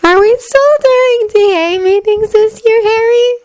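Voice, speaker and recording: A woman voicing a dreamy, bluntly honest teenage witch, speaking slowly in an airy voice. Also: very high voice, darkish tone, dry recording, clean studio sound, pitched above the performer's own voice.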